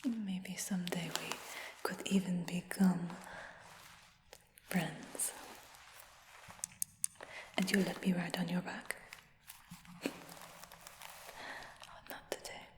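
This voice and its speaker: A woman playing a smug, doting human dragon hunter in a fantasy ASMR roleplay, whispering in a coaxing, gently boastful way. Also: fairly low pitch, flat delivery, slow, pauses often, noisy background. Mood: coaxing, pleased. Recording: echoey whisper with long cave-like reverb.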